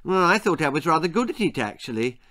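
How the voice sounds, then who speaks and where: A man's voice: on the high side, very animated, fast talker, bright, forceful tone, voice pushed up. A man voicing a cheeky, blunt small boy who gabbles on and pesters in a story. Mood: boastful, gabbling.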